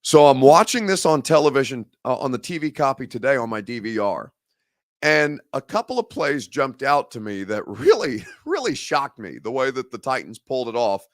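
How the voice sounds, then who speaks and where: A man hosting a live-stream sports talk show, hoarse and gravelly from a head cold, rambling and conversational as he reads viewer comments. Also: mid-range voice, very animated, moderate pace, no room sound, clean studio sound. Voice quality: gravelly, growly.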